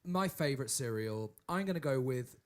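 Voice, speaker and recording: A man's voice: medium pitch, lively intonation, moderate pace. A playful, bouncy man from Bristol with an English accent, a tenor singer introducing himself and bantering casually. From Bristol, England. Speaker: tenor singer in a vocal group.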